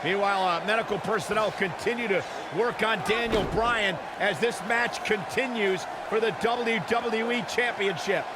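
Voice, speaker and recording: A man's voice: sits high, moderate pace, close to an everyday voice. A man playing a dramatic, alarmed play-by-play wrestling announcer in a video game, speaking emphatically. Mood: dramatic, excited. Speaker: lead play-by-play wrestling commentator. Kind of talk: excited sports commentary in a video game. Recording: loud arena crowd noise behind. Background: roaring wrestling crowd.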